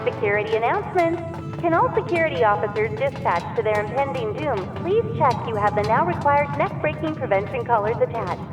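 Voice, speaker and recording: Deadpan female PA announcer voiced by a woman, high-pitched, distant, music loud around her. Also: fairly quick, natural voice. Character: blithe female spaceship security announcer. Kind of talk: mock public-address announcement.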